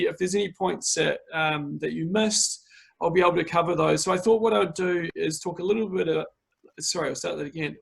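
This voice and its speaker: A man with a New Zealand accent presents a tasting over a video call. His voice is gravelly and a little husky, and it sits somewhat high for a man. He talks in a relaxed way, with many fillers.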